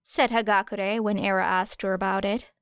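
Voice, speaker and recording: A woman's voice: medium pitch, little pitch movement, moderate pace, clear, resonant tone, dry recording, clean studio sound. A woman reading fiction aloud as an audiobook narrator. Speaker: audiobook reader.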